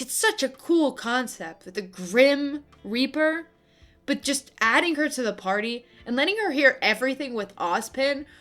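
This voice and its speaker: A young woman delivering opinionated, scripted video-essay commentary with casual asides, in an expressive, emphatic manner. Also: a little high. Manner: expressive, emphatic, gushing and griping. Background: background music.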